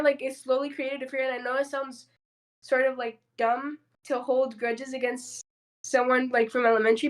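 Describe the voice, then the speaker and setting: A young woman whose first language is Spanish, speaking openly and personally on a discussion panel, with a lot of fillers. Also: sits high. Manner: open, personal, many fillers.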